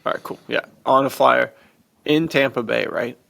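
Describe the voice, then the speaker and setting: Gravelly man chatting on a podcast. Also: mid-range voice, fairly even intonation, moderate pace, sharp tone. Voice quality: gravelly, heavy vocal fry. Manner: enthusiastic, informal. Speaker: podcast host. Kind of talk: casual podcast chat.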